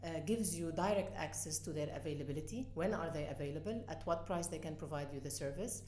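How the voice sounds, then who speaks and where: Low-voiced hesitant woman from Lebanon. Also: darkish tone, slight background noise. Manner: hesitant, many filler pauses. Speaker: guest, company co-founder. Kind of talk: casual interview talk. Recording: echoey room with faint ambience.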